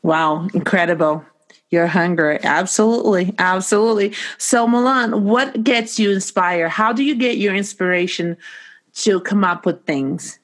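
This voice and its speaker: A woman's voice: medium pitch, normal speed. A woman hosting a casual interview, enthusiastic and encouraging, with slightly non-native phrasing. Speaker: host.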